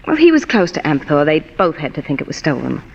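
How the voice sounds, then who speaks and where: An actress playing a scheming, murderous blonde femme fatale, smooth and pleading, in 1940s radio acting style. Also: female voice, deep voice, very animated, quick pace, a little mellow, heavily acted. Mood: cool, coaxing. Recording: dull vintage radio recording, long hall reverb.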